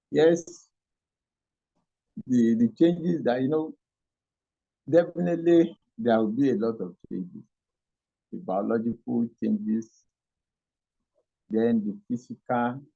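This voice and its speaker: A Nigerian man giving an interview, answering haltingly with many fillers and restarts. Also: mid-range voice, creaky, mellow. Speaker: interview guest. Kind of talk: hesitant conversational answers. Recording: dull lo-fi audio.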